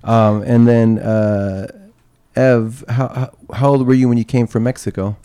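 A man's voice: medium pitch, steady tone, unhurried, warm tone, smooth, no echo. A man hosting a podcast, asking guests casual questions with lots of laughs and fillers. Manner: laughs often, friendly filler-heavy questions. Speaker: podcast host. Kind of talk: casual interview chat.

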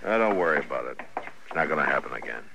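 Very deep, gravelly Western marshal. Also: male voice, quick pace, full character voice. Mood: calm, reassuring. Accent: American. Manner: terse, commanding, steady. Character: stern, authoritative town marshal, protective and firm. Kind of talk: 1950s radio western acting. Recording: noisy old radio recording with clicks.

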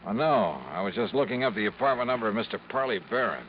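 An actor playing a wry, casual insurance investigator, probing and narrating in a 1950s radio drama. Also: male voice, lowish voice, animated, fast, close to an everyday voice. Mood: businesslike. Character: wry, brisk male insurance investigator hero. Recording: muffled, noisy 1950s radio recording.

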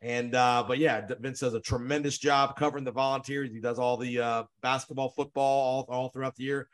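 A man hosting a sports podcast, interviewing guests in an energetic, quick manner, often repeating words. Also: medium pitch.

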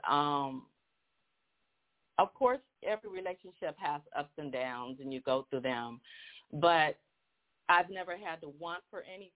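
Laughing woman on a tinny phone line. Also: low voice. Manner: laughs often, halting with many ums. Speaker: guest singer. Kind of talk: casual phone interview.

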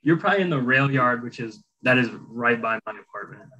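A man's voice: mid-range voice, lively intonation, brisk, muffled recording, crowd noise. A man interviewing a guest, chatting casually and asking curious follow-up questions.

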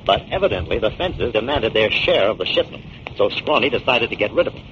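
A confident detective from a 1940s radio serial. He explains things with assurance and a very animated, swinging pitch. The old recording is dull, with faint noise. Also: brisk. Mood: explaining. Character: confident male detective explaining a solved case. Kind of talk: 1940s radio drama acting.

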